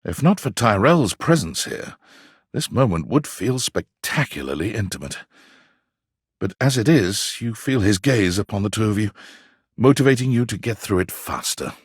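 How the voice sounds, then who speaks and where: A man narrates a video game story in a lowish, husky voice. His delivery is theatrical and swings widely in pitch as he tells the scene to the listener. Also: animated, normal speed, dry recording, studio quality. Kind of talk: scripted second-person story narration.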